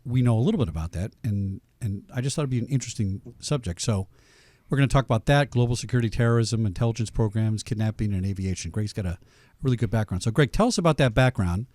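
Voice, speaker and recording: A man's voice: fairly low pitch, dry recording. A man hosting a radio talk show, interviewing a guest in casual, joking banter. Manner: joking, animated, quick banter.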